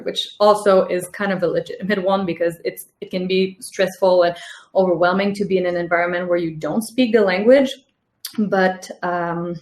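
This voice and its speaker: A woman hosting a webinar, presenting and asking interview questions in a friendly, measured way with slightly phrasing. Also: mid-range voice. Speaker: webinar host, foundation coordinator. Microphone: video call. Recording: echoey room on a video call.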